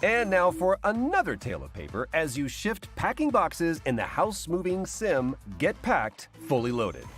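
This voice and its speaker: A man's voice: on the high side, huge pitch swings, normal speed, few pauses, fairly bright tone, soft background music. A man co-hosting a video game showcase, presenting scripted comedy in a playful, theatrical, jokey way.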